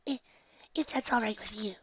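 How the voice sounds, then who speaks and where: A reader voicing a shy, gentle female pegasus pony in an audiobook reading, with a soft, hesitant manner. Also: mid-range voice, normal speed, husky, dry recording, lo-fi sound, pitched above the performer's own voice, lightly acted. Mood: timid.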